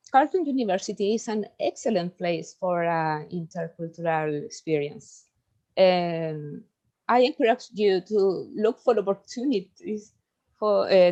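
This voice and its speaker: A young Chilean woman, a native Spanish speaker, carefully reading a research presentation in English with halting ums. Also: on the low side, a little mellow, muffled recording.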